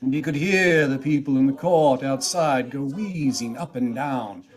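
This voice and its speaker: A man narrating a radio play as a theatrical storyteller, with a husky voice and a dramatic, relishing delivery. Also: mid-range voice, a little slow, natural voice. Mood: relishing, atmospheric.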